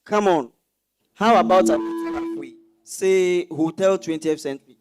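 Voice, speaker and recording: A woman's voice: lowish voice, unhurried, close to an everyday voice. A woman with a Ghanaian accent playing a proud, indignant husband and senior civil servant in a stage reading, stiff and challenging. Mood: grudging.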